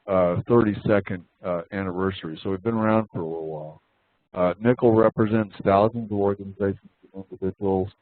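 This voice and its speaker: A man with a cold and a slightly raspy voice giving a prepared overview of his organization, with frequent "uh" fillers. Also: lowish voice, no room sound. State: has a cold. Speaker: nonprofit organization representative. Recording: dry, tinny phone line.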